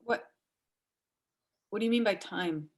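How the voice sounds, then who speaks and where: Stage drama: actress as gravely ill woman under forty, mid pitch, evasive, stumbling over words. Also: lightly acted. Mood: halting, pleading. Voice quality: halting, stammering speech. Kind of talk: dramatic reading of stage dialogue.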